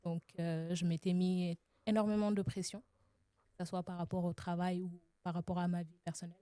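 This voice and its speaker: Young woman guest, from Senegal, hesitant and thoughtful; darker warm tone, dry close sound. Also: on the low side, little pitch movement, a little fast, no room sound. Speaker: guest, association founder. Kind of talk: casual interview answers.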